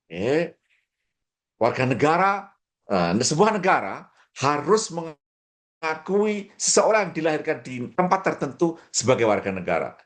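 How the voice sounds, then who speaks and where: A man explaining politics in a lecturing way, pausing often with fillers and restarting his sentences. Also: on the high side, very animated. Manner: lecturing, many hesitations and restarts. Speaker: commentator.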